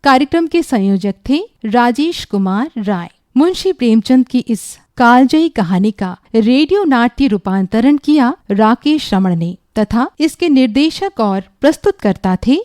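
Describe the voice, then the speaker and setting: A woman's voice: fairly high pitch, a little mellow, no echo, studio quality. A woman radio announcer reading a scripted presentation in formal, literary diction.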